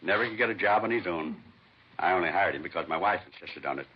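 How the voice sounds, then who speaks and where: An actor playing a fussy, self-satisfied nightclub owner, chatty and offhand, in a 1950s radio crime drama. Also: male voice, low-pitched, fast, slightly rough. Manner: chatty, offhand, breaking off into kitchen asides. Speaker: club owner being questioned. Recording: muffled, noisy vintage radio recording.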